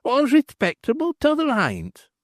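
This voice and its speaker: A man's voice: extremely high pitch, huge pitch swings, a little slow, dry recording, studio quality, pitched above the performer's own voice, full character voice. A man voicing a bustling rustic male town crier who blusters and calls out in a sing-song way. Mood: indignant.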